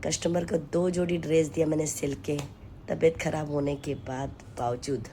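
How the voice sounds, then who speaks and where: A hoarse woman with a sore throat, chatting to her followers from home in a weary, sighing way. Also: deep voice, steady tone, warm tone, slight background noise. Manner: slow, weary, sighing between lines. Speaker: vlogger and home tailor. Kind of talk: casual chat to camera. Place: at home, taking steam.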